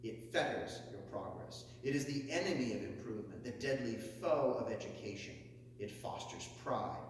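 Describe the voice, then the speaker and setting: A man's voice: animated, normal speed. Man declaiming an oration in an echoing hall. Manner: emphatic, builds to forceful high peaks on lists. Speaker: reader of a historic speech. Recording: echoey hall, mic far off, faint hum.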